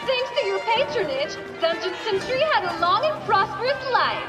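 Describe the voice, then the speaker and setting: A woman's voice: very high voice, expressive, normal speed, loud music behind the voice, a loud crowd. An actress in an anime dub playing a chirpy young female game announcer with a bubbly, polished promotional delivery. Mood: cheerful.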